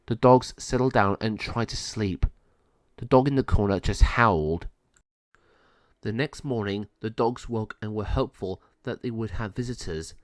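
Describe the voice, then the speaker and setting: A man's voice: on the low side, no echo. Man narrating a children's story, dry sound.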